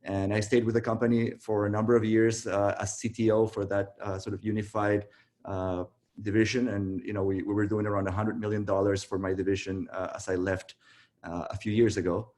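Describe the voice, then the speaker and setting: A man in his thirties from Costa Rica, telling his story in a relaxed way with many fillers on a video call. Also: fairly low pitch, fairly quick. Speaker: guest, tech founder. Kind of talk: casual virtual interview.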